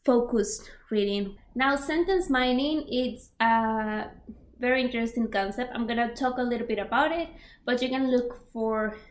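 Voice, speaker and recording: A woman's voice: on the high side, normal speed, echoey room. A young woman, a native Spanish speaker with a Spanish accent, casually explaining language learning tips with lots of fillers and examples. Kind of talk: casual instructional talk.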